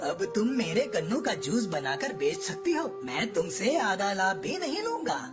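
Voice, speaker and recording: A woman's voice: mid-range voice, big pitch swings, normal speed, lightly acted. A woman voicing a greedy, scheming male crow trader in a Hindi cartoon moral story, with a bossy, theatrical delivery. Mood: worried, pleading. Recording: loud music bed under voice. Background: background music.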